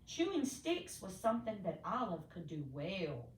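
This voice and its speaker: A woman reading a children's storybook aloud expressively, with theatrical, deliberate emphasis for young listeners. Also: mid-range voice, very animated. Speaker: storybook reader. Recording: echoey room, distant mic, faint noise.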